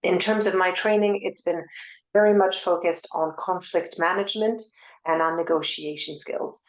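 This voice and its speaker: A woman with a French accent, a divorce coach, speaking in a measured way with fillers in a webinar. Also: lowish voice, fairly even intonation, roomy sound, lo-fi sound. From France. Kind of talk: webinar presentation.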